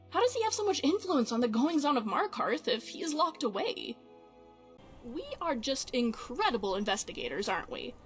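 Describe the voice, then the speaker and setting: A woman voicing a quirky, chatty, fretful young adventuring companion in a fantasy game, expressive and wry. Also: high-pitched. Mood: wry, amused.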